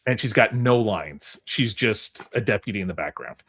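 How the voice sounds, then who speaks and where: A man's voice: lowish voice, lively intonation, moderate pace, fairly bright tone, breathy edge. A man hosting a podcast in casual chat, with an informal, rambling way of talking. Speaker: podcast host.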